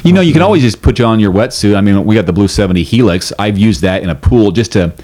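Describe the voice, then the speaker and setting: Male podcast co-host, fairly low voice, easygoing and chatty, restarts his sentences often. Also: fast talker. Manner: relaxed, chatty, frequent restarts. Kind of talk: casual chat.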